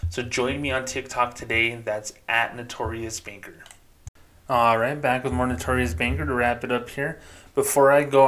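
A man hosting a solo podcast, talking casually in a self-described monotone and swearing freely. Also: medium pitch. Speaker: podcast host.

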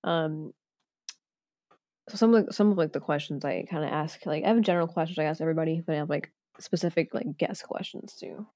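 A woman's voice: sits low, fast talker, mellow, no room sound. A young woman hosting a podcast in casual chat, hesitant and giggly, with lots of fillers. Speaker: podcast host.

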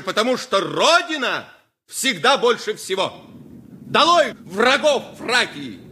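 An actor playing a fervent nationalist demagogue, declaiming bombastically in a radio play. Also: male voice, very high-pitched, bright, forceful tone, slight background noise, full character voice. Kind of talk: 1980s radio play oratory.

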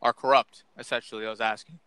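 Bright, pushy young man. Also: medium pitch, fairly even intonation, fast, clean tone, no echo. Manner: pointed, pressing questions. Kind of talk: casual debate.

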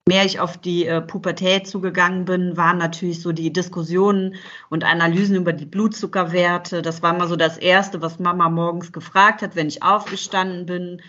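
A woman's voice: on the low side, little pitch movement, brisk, a bit bright. A woman in her thirties, a podcast guest, talking reflectively and hesitantly with many fillers. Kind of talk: casual interview chat. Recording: dull lo-fi sound.

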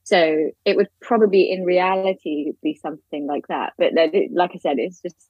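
A woman's voice: fairly low pitch, steady tone, normal speed, darkish tone, husky, no room sound, highs cut off. A woman speaking as an expert guest in casual chat, informal, candid and self-deprecating. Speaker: guest expert, legal academic.

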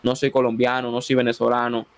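A Dominican man with a Dominican Spanish accent who hosts a radio show and preaches with fervor. He calls out amen and glory to God, then leads a slow, solemn prayer for listeners to repeat. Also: mid-range voice, completely flat delivery, no room sound. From Dominican Republic.

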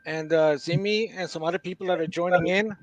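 Middle-aged guy from belgium on a video call, slightly high voice, lots of uhs and ums, relaxed guest chat. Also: a little fast, rough recording. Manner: relaxed, hesitant with many fillers. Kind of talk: casual interview chat.

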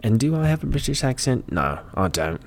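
A man's voice: mid-range voice, sing-song, a little fast, a little hiss or hum. A man hosting a solo podcast, talking unscripted and thinking aloud, rambling and often correcting himself mid-thought.

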